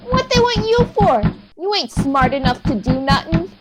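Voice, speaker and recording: Woman as high-pitched bratty tough little boy. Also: very animated, normal speed, over loud music. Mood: sneering. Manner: defiant, slangy, sneering. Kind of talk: old-time radio comedy acting.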